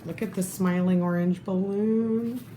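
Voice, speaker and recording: A woman reading a children's picture book aloud expressively, pausing to add her own comments. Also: medium pitch, steady tone, mellow. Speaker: storybook reader. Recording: echoey room with faint background noise.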